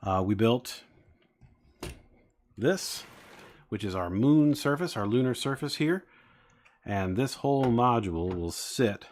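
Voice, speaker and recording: Forties man, lowish voice, relaxed rambling streamer talking to his chat. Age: 40s. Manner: rambling, chatting to viewers with many fillers. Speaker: streamer building toy sets. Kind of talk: casual live-stream chat.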